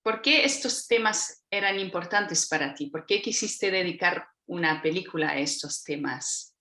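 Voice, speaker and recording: A woman's voice: fairly low pitch, moderate pace, pushed and bright, echoey room. A woman interviewer who asks questions and makes short agreeing sounds while she listens.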